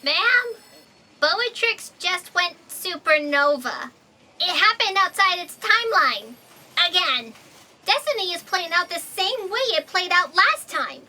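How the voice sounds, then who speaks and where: A woman's voice: very high voice, lively intonation, unhurried, pushed and bright, heavily acted. A woman playing an anxious, eager, chattering alien office fact checker in a comedic sci-fi audio drama. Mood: panicked, existential. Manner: chattering, breathless, overwrought questioning.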